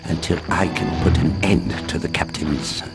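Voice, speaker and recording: An actor playing a worried, learned middle-aged professor in a dramatic game cutscene, speaking formally and gravely. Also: male voice, extremely low pitch, fairly even intonation, a little slow, loud music behind the voice, full character voice. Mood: grave, pleading. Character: worried learned professor, father of captive daughter.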